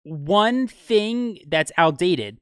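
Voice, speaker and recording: A man breaking down music in an enthusiastic, explanatory way for a video, with fillers and quick asides. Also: high-pitched, lively intonation, fairly bright tone, clear, resonant tone, dry recording, studio quality. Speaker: video commentator.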